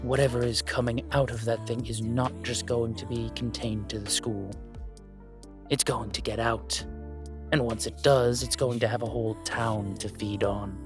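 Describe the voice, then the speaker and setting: A man playing a blunt, protective male spirit in an audio drama, with a husky voice and a matter-of-fact delivery. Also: medium pitch, little pitch movement, normal speed, music in the background, close to an everyday voice. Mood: grave warning. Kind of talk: audio roleplay acting.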